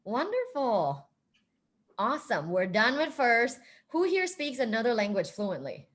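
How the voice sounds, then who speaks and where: A woman's voice: fairly high pitch, big pitch swings, unhurried, a bit bright. A woman from Thailand facilitating a group video chat as host, affirming and encouraging people as she goes. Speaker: host of an online group activity. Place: online video meeting.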